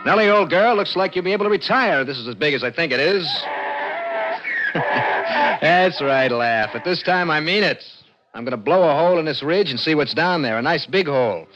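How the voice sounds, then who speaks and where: A man's voice: fairly high pitch, lively intonation, fairly quick, background noise, lightly acted. An actor playing a plain-spoken American garage mechanic in his thirties, narrating an old radio drama in an urgent, folksy way. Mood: excited, playful. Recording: dull old radio recording with music.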